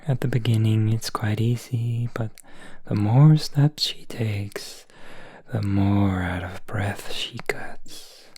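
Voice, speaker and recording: A man narrates a gentle story in a soft ASMR whisper, very slow and unhurried. His voice is breathy and husky, close to the mic with a completely dry sound. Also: lowish voice, no room sound.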